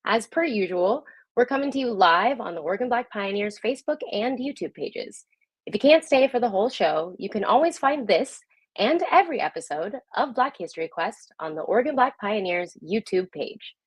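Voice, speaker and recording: A woman's voice: medium pitch, expressive, normal speed, breathy edge, no echo. A woman speaking as the host of a livestream show, introducing and interviewing.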